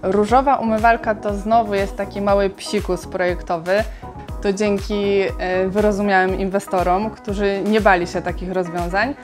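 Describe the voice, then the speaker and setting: Female architect guest, calm and explanatory, mid-pitch, some fillers, faint music under. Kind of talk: explaining her design in an interview.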